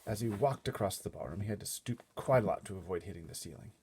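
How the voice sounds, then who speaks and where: Very deep, gravelly male storybook reader. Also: expressive, fast talker, darkish tone, slight background noise. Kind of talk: children's book readaloud with casual explanatory asides.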